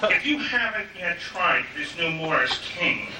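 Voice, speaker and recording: Hip 1960s variety showman played by a man, high-pitched, unhurried delivery, echoey stage sound. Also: big pitch swings. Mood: smooth, salesmanlike. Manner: hip slangy crooner, chuckling. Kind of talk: 1960s television variety sponsor spot, on stage. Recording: roomy stage, distant mic, loud hiss.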